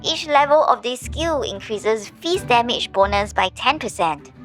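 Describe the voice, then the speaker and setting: Woman playing a high-pitched, theatrical game announcer. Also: expressive, measured pace, fairly bright tone, faint music behind. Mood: brisk, snarky. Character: showy female game announcer AI reading out awards and item stats. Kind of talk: game system announcements, scripted.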